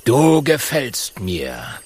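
A man voicing a vain, pompous male lion king with a deep, slow, rumbling growl, in a children's audiobook. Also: huge pitch swings, a little mellow, breathy edge, exaggerated voice. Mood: smug. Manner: self-important, drawling.

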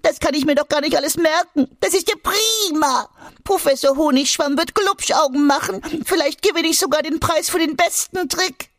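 A man voicing a small, anxious creature with long spoon ears in a children's audiobook, in a high squeaky voice. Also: expressive, measured pace, no room sound, studio quality. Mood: overjoyed. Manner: high squeaky, whiny then gleeful. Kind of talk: audiobook character voice.

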